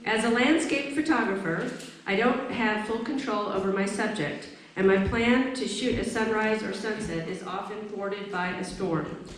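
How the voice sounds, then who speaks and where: A woman's voice: mid-range voice, unhurried. A woman presenting at a live event, introducing pieces and reading aloud. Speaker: event presenter, stand-in reader. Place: indoor event space, recorded from a distance. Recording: very echoey room, distant mic, faint noise.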